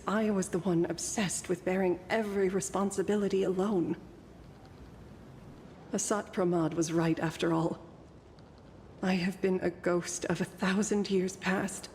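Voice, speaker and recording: Solemn, low-voiced ancient female guardian. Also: darkish tone, slight background noise. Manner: measured, formal. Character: solemn thousand-year-old female guardian spirit, regretful and dignified. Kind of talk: dramatic video game acting. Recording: hall-like reverb. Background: music.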